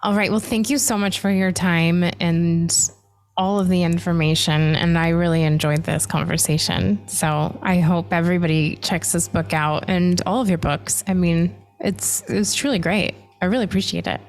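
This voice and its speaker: A woman's voice: on the low side, steady tone, normal speed, faint music behind. A young woman hosting a podcast who talks in casual, enthusiastic interview chat, with many "like" fillers. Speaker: podcast host.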